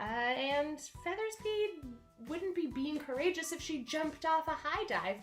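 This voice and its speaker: A woman's voice: high voice, fairly bright tone. A woman presenting a story time for children, speaking simply and encouragingly, with music behind her. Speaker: camp director reading picture books to children.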